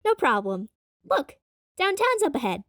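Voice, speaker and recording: A woman plays a teasing teenage girl in an audio drama. Her voice is high and bouncy, her talk slangy and a bit sarcastic, on a dry recording. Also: wildly swooping pitch, measured pace, fairly bright tone, no room sound, exaggerated voice. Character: teasing, casual, upbeat young teenage girl.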